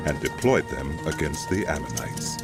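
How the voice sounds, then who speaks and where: A man with a deep voice serves as narrator of a dramatized scripture. His delivery is solemn storytelling with lively intonation. Loud music runs beneath him. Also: normal speed, vocal fry.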